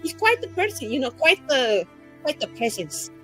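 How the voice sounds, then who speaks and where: A woman's voice: sits high, sing-song, moderate pace, bright, forceful tone, soft background music. A woman playing a tabletop game, chatting casually and describing her character in a playful, wry way. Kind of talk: casual improvised roleplay chat.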